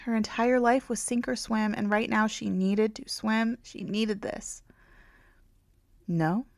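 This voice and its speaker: A woman reading a romance audiobook as its author, in a dramatic storytelling manner with hushed phrase endings. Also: on the high side, steady tone, measured pace, gravelly, dry recording, studio quality. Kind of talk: scripted narration, romance audiobook.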